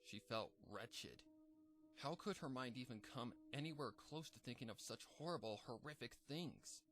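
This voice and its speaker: A man narrating a dark fan fiction story in a measured, somber reading. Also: mid-range voice, lively intonation. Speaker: fanfic narrator.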